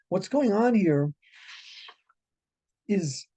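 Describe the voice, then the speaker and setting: A man's voice: high voice, lively intonation, drawn-out words, dark tone, breathy edge. A man teaching an informal Torah class as a rabbi, talking conversationally and animatedly, often checking in with "right?".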